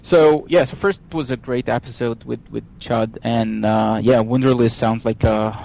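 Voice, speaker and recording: A man from Israel with an Israeli accent, speaking English as a guest in a technical interview, with frequent pauses, fillers and restarts. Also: medium pitch, steady tone, vocal fry, a little mellow. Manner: hesitant, many fillers and restarts. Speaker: software engineer guest.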